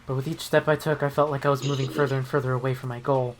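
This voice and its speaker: A man's voice: mid-range voice, level tone, very fast. Male playthrough host, mid-range, narrating a story game and chatting casually, music underneath. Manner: sweary. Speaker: host reading a game aloud.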